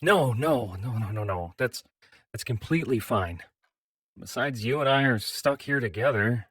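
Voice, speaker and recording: Man as a metal-bodied adventurer, earnest, measured, polite, very dry studio sound, fantasy audio drama. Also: medium pitch, expressive, a bit bright, slightly rough, dry recording. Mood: sympathetic.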